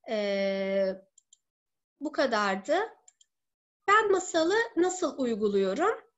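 Woman teacher on a video call, really high voice, explains stuff bit by bit, kinda halting. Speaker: teacher presenting a method to fellow teachers. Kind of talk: online seminar presentation, explanatory. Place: living room at home. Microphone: laptop or webcam video call.

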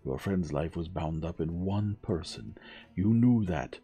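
Male narrator doing a courteous, relentless Belgian detective, dark low tone. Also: animated, highs cut off. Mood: probing. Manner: measured, courteous, relentlessly probing. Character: shrewd elderly male Belgian detective, polite but probing.

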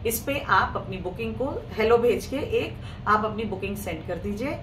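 A woman with a hoarse throat hosting a live clothing sale, chatting casually with viewers in Hindi mixed with English. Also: medium pitch, expressive, moderate pace, fairly bright tone. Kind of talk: casual live-stream sales talk. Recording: echoey room, mic at a distance, faint noise.

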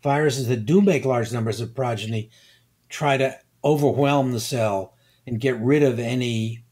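An older man, a guest scientist, explaining slowly and deliberately, pausing and repeating words as he thinks. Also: medium pitch, a little mellow. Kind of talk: unhurried expert explanation.

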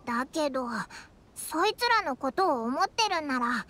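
A woman's voice: sits high, animated, moderate pace, fairly bright tone. A woman voicing a tiny childlike fairy companion in an anime game, pert, nosy and cutesy with blunt boyish speech. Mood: curious, questioning.

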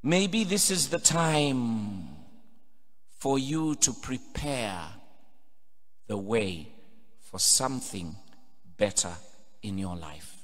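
A middle-aged Ugandan-accented priest preaches into a pulpit microphone, his voice sitting at an ordinary male pitch. He drives his points home with emphatic, rising calls to the congregation. Long cathedral reverb trails every phrase. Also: expressive, drawn-out words, long pauses. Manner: emphatic preaching, building to loud exhortations. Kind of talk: homily mixing English and Luganda. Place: large cathedral, indoors at a pulpit. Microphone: pulpit microphone on a stand.

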